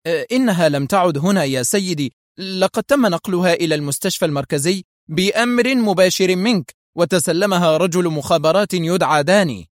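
A man's voice: fairly high pitch, lively intonation, fairly quick, no echo, studio quality, voice pushed up, lightly acted. A man voicing a nervous prison warden who stammers and fawns over a visiting judge. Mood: flustered. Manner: stammering, fawning.

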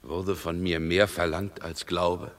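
A deep-toned man as a humble condemned prisoner in a 1960s radio drama. He is solemn and resigned, with formal, carefully weighted phrases of surrender. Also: flat delivery, a bit bright, heavily acted. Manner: solemn, measured formal diction. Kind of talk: 1960s German radio play acting.

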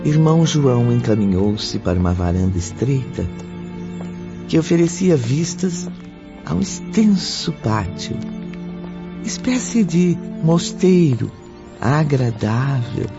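A man's voice: medium pitch, lively intonation, slow pace. A man performing as the narrator of a radio drama, reading a formal story. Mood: measured, descriptive. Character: formal, unhurried narrator of a spiritist tale. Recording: muffled audio under loud music.

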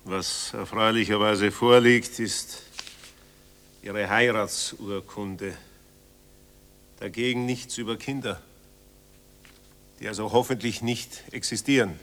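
A man's voice: on the low side, fairly even intonation, slow pace, pauses often, a bit bright. An actor in a German radio drama playing a pedantic, condescending male official, officious and mock-polite. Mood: dryly sceptical.

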